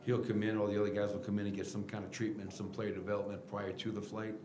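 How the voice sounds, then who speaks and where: A middle-aged man, a basketball head coach, answering reporters' questions at a post-game press conference podium with a table mic, candid and animated. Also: fairly low pitch, fast, echoey room, background noise. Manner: candid, animated, gestures while explaining. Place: press room at team podium. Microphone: table mic in front of him.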